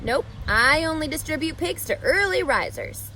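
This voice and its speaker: A gentle, patient farmer father from a storybook, speaking in a measured, kindly way, as a woman reads him aloud. The voice stays fairly high and lively in pitch. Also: a little hiss or hum.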